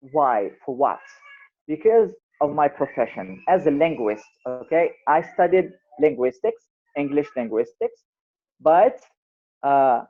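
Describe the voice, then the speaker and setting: A man from Algeria with an Algerian accent, a native Arabic speaker, talking slowly and deliberately in a casual online group chat as a linguist. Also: a little high. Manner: slow and deliberate, many fillers and repeats. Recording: tinny phone-like online call audio.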